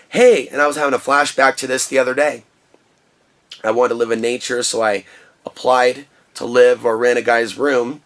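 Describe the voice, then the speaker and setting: A man with a booming voice talking through a personal vlog monologue in an impassioned, ranting way from his shed studio. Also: fairly bright tone. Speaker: vlogger.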